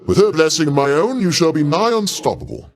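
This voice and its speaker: A man's voice: fairly high pitch, expressive, a little fast, a little mellow, lightly acted. A man voicing a mighty older god king for a video game, a genial, doting uncle with grand, courtly old-fashioned diction. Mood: commanding, rousing. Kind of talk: theatrical video game voice acting.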